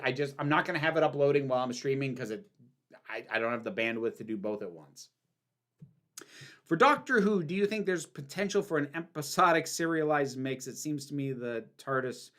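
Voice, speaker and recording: A woman's voice: deep voice, fairly bright tone. Woman, livestream host, casual Q&A, candid, lots of ums and restarts. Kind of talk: casual livestream Q&A, reading chat questions.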